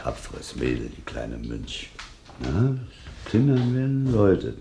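A man's voice: a bass voice, wildly swooping pitch, slow, gravelly, exaggerated voice. An actor in a radio crime drama playing a pompous, boozy, suspicious village policeman who blusters. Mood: jovial, rambling. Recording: noisy, echoing radio play recording.

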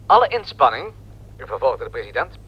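A man playing an earnest foreign correspondent, giving a formal, measured news report in a radio drama. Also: medium pitch, big pitch swings, bright, forceful tone, slightly rough, exaggerated voice. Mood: formal, serious. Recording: muffled old radio, correspondent's telephone link.

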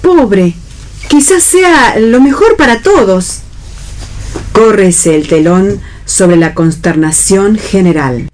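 A woman's voice: medium pitch, expressive, takes it slowly. A woman reading stage directions as narrator of a radio play. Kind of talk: read narration for radio theatre.

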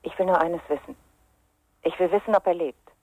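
A woman's voice: lowish voice, fairly quick, husky, dry recording, narrow, tinny audio. An actress playing an anxious, accusing mother in a radio crime drama, curt and insistent. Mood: tense, reproachful.

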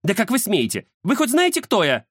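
A man's voice: sits high, huge pitch swings, a little fast, a bit bright, slightly rough, dry recording, studio quality, pitched above the performer's own voice, full character voice. A man voicing a vain, touchy woman journalist, huffy and self-important, in a children's story. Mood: indignant.

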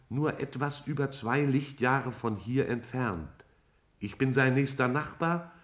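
A man playing a vast alien cloud intelligence in a 1950s German radio play, speaking in a formal, measured, didactic way. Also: medium pitch, lightly acted. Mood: solemn, pondering.

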